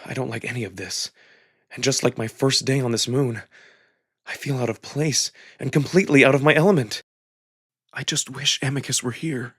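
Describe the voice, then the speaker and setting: A man's voice: mid-range voice, moderate pace, slightly rough. A man giving a dramatic, introspective first-person narration of a visual novel. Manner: expressive, introspective storytelling.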